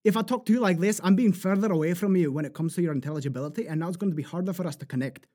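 A man with a New Jersey accent, talking as an animated voice actor guest who laughs often and slips into accent demos. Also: sits high, very fast. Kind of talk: casual interview chat.

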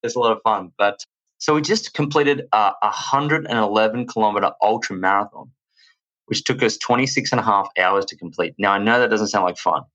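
Australian man, low-pitched, rambling and energetic, casual podcast chat, muffled lo-fi audio. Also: fairly quick. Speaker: podcast guest, entrepreneur.

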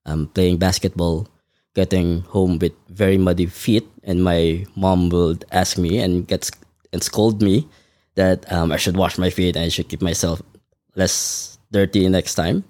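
Guy, really deep mellow voice, takes his time, lots of ums. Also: fairly even intonation, dry recording, clean studio sound. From Philippines. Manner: measured, thoughtful, frequent ums. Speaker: podcast guest. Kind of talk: conversational interview.

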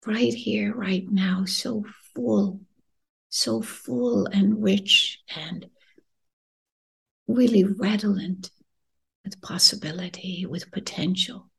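A woman leading a guided meditation in a gentle, soothing, breathy voice that often drops near a whisper, unhurried with long pauses. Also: mid-range voice, fairly even intonation. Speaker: podcast host and meditation guide.

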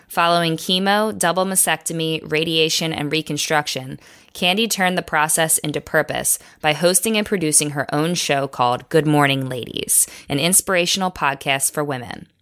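A woman hosting an interview, reading a polished introduction and asking measured questions. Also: low voice, a bit bright, clean tone. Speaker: host.

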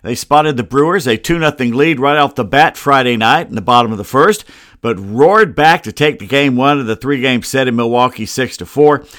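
A man's voice: mid-range voice, moderate pace, fairly bright tone, no room sound. An American man presents a casual sports podcast into a close computer mic, with a very dry sound. He grumbles wryly and wanders into asides as he talks through the sports news. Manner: grumbling, wry, rambling asides. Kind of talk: casual solo sports commentary. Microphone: close computer microphone.

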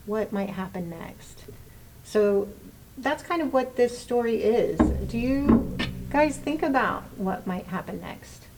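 Slow-paced woman hosting, with background noise. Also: medium pitch, a little mellow, low-quality recording. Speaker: discussion host. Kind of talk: moderated book discussion.

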